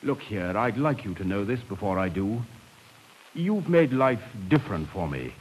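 An actor playing a bluff, devoted English cavalry officer with a clipped manner in a 1950s radio drama. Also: male voice, fairly low pitch, big pitch swings, moderate pace, rough recording. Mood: tender, earnest. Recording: hissy 1950s radio recording.

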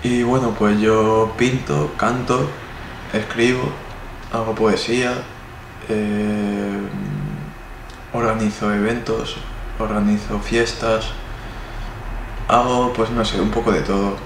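Rambling young Spanish man, flat voice. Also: lowish voice, slow. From Spain. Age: 20s. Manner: rambling, many fillers and restarts. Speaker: interviewee, artist. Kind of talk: casual interview answers. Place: indoor room. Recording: echoey room, noisy.

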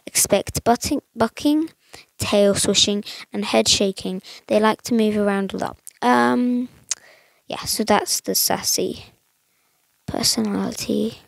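Young girl rambling over her phone game, slow and a little croaky, dry close sound. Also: mid-range voice, no room sound, poor audio. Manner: chatty, rambling with many fillers. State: slightly croaky voice. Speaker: gaming channel host. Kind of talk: casual gameplay commentary.

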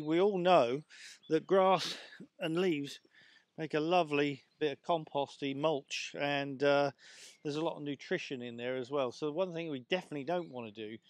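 A man's voice: a little high, no room sound. A middle-aged Englishman with a chatty, rambling manner, presenting lawn care outdoors in a large garden on a lapel mic. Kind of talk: casual outdoor demonstration. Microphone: clip-on lapel mic.

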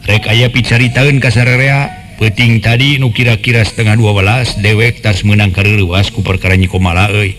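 A man's voice: on the low side, steady tone, fairly quick, hardly any pauses, fairly bright tone, lightly acted. Guy doing a protective village uncle for a radio tale, blunt n coarse, really emphatic, faint music. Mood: grave, urgent.

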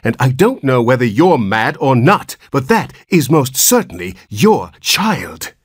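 A man's voice: lowish voice, wildly swooping pitch, unhurried, slightly rough, dry recording, clean studio sound, full character voice. A man voicing a stern, contemptuous female hospital nurse in a storybook, severe and insistent.

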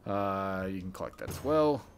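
A man's voice: low-pitched, animated, moderate pace, clean tone. A man giving casual let's play commentary as a gaming host, muttering through his decisions as he plays. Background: game music.

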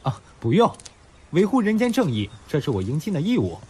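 An actor playing a righteous, kind young male university student in a radio drama, with a husky voice. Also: on the high side, wildly swooping pitch, fairly quick, warm tone, a little hiss or hum. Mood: concerned, friendly. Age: young adult. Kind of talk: Mandarin radio drama acting.

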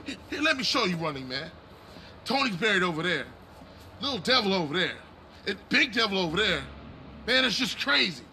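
Bossy gangster brother, sharp and high-pitched. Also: male voice, big pitch swings, brisk, a little hiss or hum, heavily acted. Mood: bitter. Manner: scolding, profane. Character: resentful, bossy older brother in a street gang. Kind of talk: video game cutscene acting.

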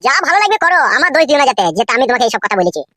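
Man as a nervous, fast-talking village gossip. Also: very high voice, very animated, pushed and bright, no room sound, studio quality, heavily acted. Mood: nervous, confiding. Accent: rural West Bengal. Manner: confiding, grumbling, pleading. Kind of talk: comedy cartoon dubbing. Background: music.

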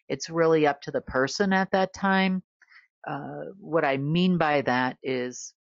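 A woman's voice: sits low, no room sound, lo-fi sound. A middle-aged woman talking to camera in a studio, rambling and easygoing, with many fillers and small laughs. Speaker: guest reporter. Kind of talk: unscripted monologue to camera. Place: studio with plain backdrop.

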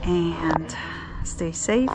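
A woman's voice: lowish voice, very slow. A woman vlogging casually in a chatty, teasing way, recorded on a handheld camera outdoors on a building site. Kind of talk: casual vlog narration and chat. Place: outdoor building site under a raised house. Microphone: handheld camera, held by the speaker.

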